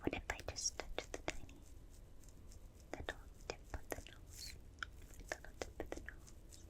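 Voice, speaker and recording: A woman playing a gentle, curious alien in an ASMR roleplay, speaking in soft breathy whispers with soothing, clinical, repetitive phrasing close to the microphone. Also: lightly acted. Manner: slow, soothing, repetitive, clipped detached phrasing. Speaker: ASMR performer. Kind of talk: ASMR roleplay, personal attention. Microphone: close binaural microphone.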